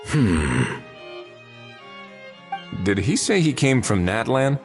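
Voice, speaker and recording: Slow, measured, reserved character. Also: male voice, mid-range voice, sing-song. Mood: puzzled. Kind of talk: video game voice acting. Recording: faint music behind the voice.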